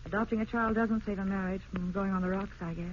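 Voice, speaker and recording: A woman's voice: mid-range voice, steady tone, fast, close to an everyday voice. An actress playing a strained young wife and mother in a vintage radio drama, with a proper, emotive delivery. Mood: sad, resigned. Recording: muffled old radio broadcast, faint noise.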